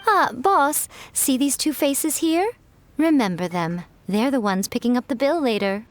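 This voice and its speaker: A smug, teasing fox spirit. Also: female voice, sits high, expressive, unhurried, slight background noise. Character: sly, teasing fox-spirit woman, regal and playful. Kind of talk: video game voice acting.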